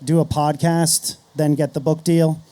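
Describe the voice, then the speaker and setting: A man with a fairly high but very soft-edged, mellow voice talks on a panel. He is middle-aged and chats in an informal, anecdotal way, sprinkling in casual filler phrases. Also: level tone, unhurried. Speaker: panelist, podcast host. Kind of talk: conference panel discussion, casual.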